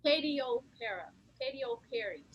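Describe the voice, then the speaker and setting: A woman's voice: high-pitched, normal speed, slightly rough, muffled recording. A woman who is an acting student, talking casually on a video call after a class performance.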